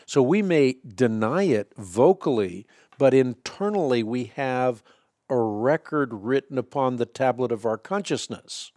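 A man whose first language is Dutch, hosting a call-in radio show and calmly explaining faith questions, often citing scripture. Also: mid-range voice, no room sound. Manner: measured, explanatory, quotes scripture. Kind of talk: Bible answer call-in show.

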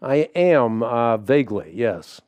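Dutch-born male call-in host, dry close sound, earnest, fills pauses with uh. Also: mid-range voice, expressive, darkish tone, no echo. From Netherlands. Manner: earnest, halting with fillers. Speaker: radio show host. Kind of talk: call-in radio talk.

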